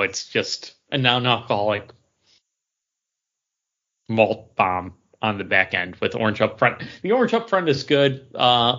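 A man's voice: on the low side, sharp tone. A male podcast co-host chats in an unscripted, rambling way. He feels his way through sentences with some hesitation. The recording is somewhat dull and lo-fi. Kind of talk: casual chat, drink review.

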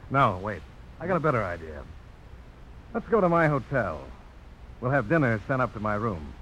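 A man's voice: mid-range voice, big pitch swings, moderate pace, a bit bright. A man playing a confident, friendly young frontiersman in a radio drama, speaking in an easygoing, inquisitive, folksy way. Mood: eager. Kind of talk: 1950s radio drama acting. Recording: slightly noisy old radio broadcast.

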